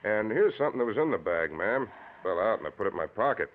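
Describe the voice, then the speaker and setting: A man's voice: on the low side, big pitch swings, fast, narrow, tinny audio. An actor playing a kindly, folksy motorcycle policeman with a plainspoken, reassuring manner in an old-time radio mystery. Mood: gentle, official. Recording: thin, noisy old radio recording.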